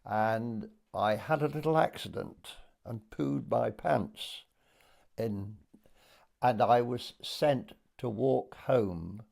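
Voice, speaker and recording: An Englishman in his eighties from Dorset, recalling his childhood for an oral history interview in a hesitant storytelling way with pauses. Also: medium pitch, vocal fry, a little mellow. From Dorset, England. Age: 80s.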